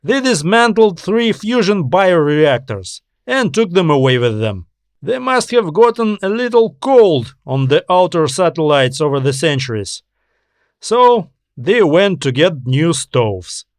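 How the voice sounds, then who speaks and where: Man acting a confident, high-pitched officer reporting in. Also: sing-song, a little slow, no room sound, clean studio sound. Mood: wry, confident. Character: confident male space-station officer reporting to his boss. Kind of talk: audiobook character dialogue.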